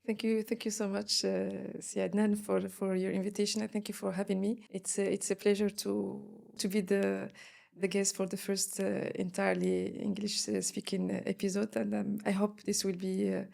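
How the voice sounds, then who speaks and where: A woman's voice: mid-range voice, normal speed, creaky, darkish tone. A woman from Morocco, a guest on an interview podcast, talking with many fillers and restarts.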